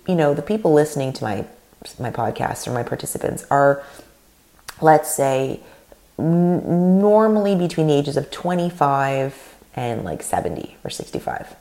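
A woman's voice: extremely low pitch, creaky. A Canadian-born woman in her thirties, whose first language is Russian, hosting a solo podcast in an earnest, rambling way. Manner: earnest, animated, rambling with restarts. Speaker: podcast host and leadership coach.

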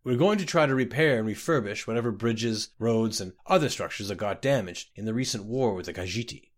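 A man's voice: fairly low pitch, brisk, lightly acted. An earnest young-hearted bureaucrat from a fantasy storybook, played by a male narrator. He speaks politely and fretfully, an anxious man of desks and contracts who is far out of his depth. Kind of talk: audiobook character voice.

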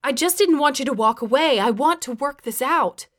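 A woman's voice: fairly low pitch, big pitch swings, a little slow, fairly bright tone, clear, resonant tone. A woman narrator playing a defensive husband who pleads and insists irritably, in dramatic audiobook dialogue. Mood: panicked, pleading.

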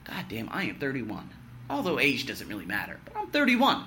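A man in his thirties giving a blunt, emphatic year-in-review vlog monologue, swinging between loud boasts and low muttered asides, with the odd swear word. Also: huge pitch swings, brisk, slight background noise. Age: 30s. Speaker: vlogger.

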